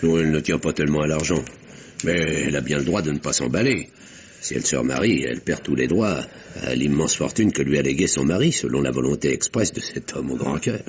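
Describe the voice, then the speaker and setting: Courteous gentleman confidant, very deep, husky, theatrical formal diction, vintage radio drama. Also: expressive, brisk, exaggerated voice. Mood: confiding. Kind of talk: mid-century French radio drama acting. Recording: dull, muffled vintage radio recording.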